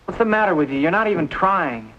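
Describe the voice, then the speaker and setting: A man's voice: high-pitched, lively intonation, fast talker. An actor playing an anxious, impatient man fretting over a boy in a 1950s television drama. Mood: exasperated, scolding. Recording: muffled vintage TV soundtrack, faint noise.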